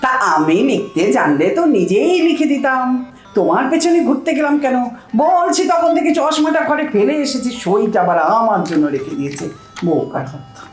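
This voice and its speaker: A bossy, illiterate older housewife in a comic audio drama, acted by a woman, swings through a very lively range of pitch. She scolds, wheedles and boasts with great self-importance. The room rings around her and faint music plays underneath. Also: moderate pace, echoey room. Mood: irritated.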